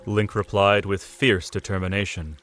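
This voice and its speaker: A man narrating an audio drama in a measured storytelling manner. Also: fairly low pitch, level tone, a bit bright, soft background music. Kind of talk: scripted narration.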